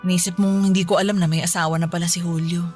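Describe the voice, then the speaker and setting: A woman's voice: lowish voice, little pitch movement, racing, a little mellow, soft background music, close to an everyday voice. A woman playing a sly young mistress in a Filipino radio drama, feigning innocence with a smug, teasing manner. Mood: smug, defiant.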